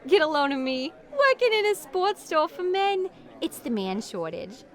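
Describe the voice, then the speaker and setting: A woman's voice: high voice, sing-song, normal speed. A woman playing a chatty young sales clerk, polite and easily frightened, in old-time radio drama style. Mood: gossipy.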